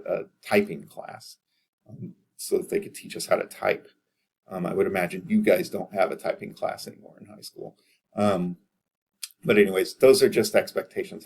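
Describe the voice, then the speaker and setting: Male lecturer, 30s, low gravelly voice, plain-spoken, keeps asking the class "okay?". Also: darkish tone. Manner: casual, direct, repeats "okay?" to check in. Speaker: accounting lecturer.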